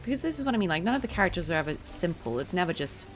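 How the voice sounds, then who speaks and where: A woman's voice: fairly low pitch, very animated, racing, soft background music. A woman game streamer giving casual, reflective livestream commentary, thinking aloud as she plays.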